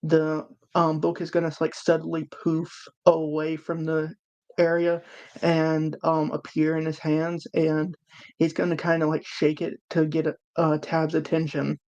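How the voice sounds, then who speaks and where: A woman's voice: low-pitched, monotone, a little slow, a little mellow, muffled recording. A woman chatting casually as a player in a tabletop role-playing game, describing moves to the group. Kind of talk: casual chat.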